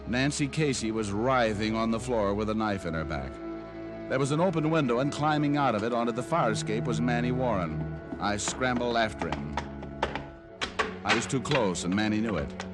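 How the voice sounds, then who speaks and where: Tough private eye played by a man, lowish voice, wry and hardboiled, vintage radio crime drama with music behind. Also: lively intonation, moderate pace, close to an everyday voice. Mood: brisk, tense. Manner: wry, clipped hardboiled delivery. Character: tough, wisecracking private detective. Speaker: lead character and narrator. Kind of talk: old-time radio detective drama with first-person narration.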